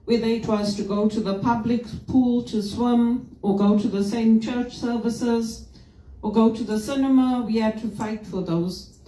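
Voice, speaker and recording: Echoey, higher-voiced woman from Zimbabwe. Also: steady tone, a little slow, mellow, slight background noise. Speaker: vlogger giving a personal reflection. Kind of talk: informal spoken reflection, part religious, part personal grievance. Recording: roomy echo, distant from microphone.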